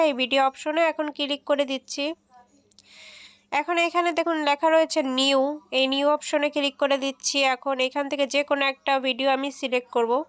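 A woman's voice: high voice, fairly bright tone, no echo. A woman hosting a casual step-by-step app tutorial. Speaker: tech tutorial host.

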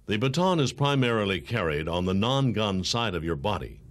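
A low-pitched American man delivers scripted training narration. His tone is formal and authoritative, with lively rises and falls across each measured, instructional sentence.

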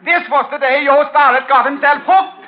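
An actor playing a jovial homesteader at a celebration in a 1950s radio drama, hearty and folksy. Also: male voice, extremely high pitch, normal speed, very noisy. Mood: jovial, teasing. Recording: dull old radio broadcast, crowd behind.

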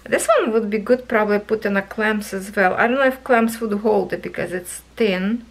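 A woman hosting a craft vlog, chatting slowly through a cross stitch kit review, voice going hoarse, recorded at a table indoors. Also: mid-range voice. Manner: chatty, thinking aloud, soft and slow. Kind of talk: casual product review vlog. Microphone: camera over a table, hands only in frame.

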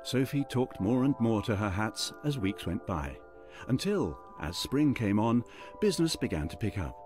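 An actor narrating a fantasy tale as storyteller in a scripted radio drama. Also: male voice, fairly low pitch, very animated, moderate pace, a little mellow. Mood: storytelling. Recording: music under the voice. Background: music.

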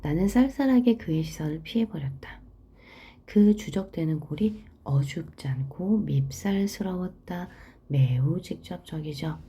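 A woman teaching literature, reading a story aloud and explaining it in a soft, half-whispered delivery. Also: low voice, animated, measured pace, husky.

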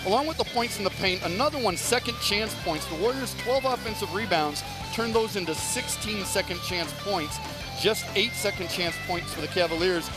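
High-voiced man hosting a postgame show. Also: lively intonation, rough recording. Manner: brisk, stat-listing delivery. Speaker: play-by-play host. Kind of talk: postgame broadcast wrap-up. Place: studio set. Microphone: headset mic. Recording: music bed behind.

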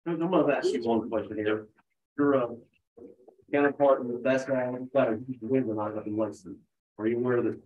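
A man's voice: medium pitch, fairly quick, dull audio. A man reporter asking the coach questions in a casual, familiar way.

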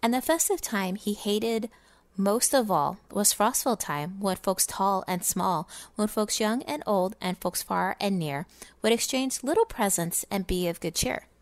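A woman giving a dramatic, expressive storybook reading in sing-song rhyming verse. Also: medium pitch, a little slow, no echo. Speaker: gaming video creator reading a story.

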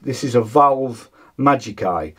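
A man in his sixties with a husky voice gives an informal hands-on demonstration, explaining hesitantly as he thinks aloud. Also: mid-range voice, animated, measured pace, fairly bright tone. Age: 60s. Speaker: vlogger demonstrating vintage audio gear. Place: small home studio with foam panels, indoors.

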